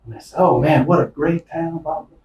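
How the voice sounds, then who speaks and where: A man's voice: fairly high pitch, brisk, dark tone. A man hosting a casual interview podcast, chatty and folksy with many fillers and restarts. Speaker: podcast host and small-town mayor. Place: office conference room. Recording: roomy, distant mic with faint noise.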